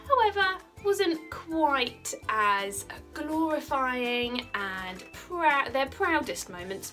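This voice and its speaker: A woman with a slightly high voice speaks as a primary school teacher at story time. Her delivery is expressive and emphatic, pitched for young listeners. Faint music plays behind her. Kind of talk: expressive children's storybook reading.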